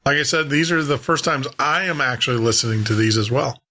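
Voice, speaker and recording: A man's voice: medium pitch, brisk, bright, forceful tone. A man hosting a show, chatting to listeners in an informal, rambling way. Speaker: podcast host.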